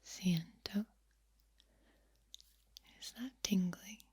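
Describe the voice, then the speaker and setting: Female ASMR host: very breathy whisper, extremely unhurried, lingering and repeating words, close-miked. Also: mid-range voice, a little mellow. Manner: deliberate, drawn-out delivery with repeated words. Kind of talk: soft-spoken ASMR, mostly whispered. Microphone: close, sensitive microphone.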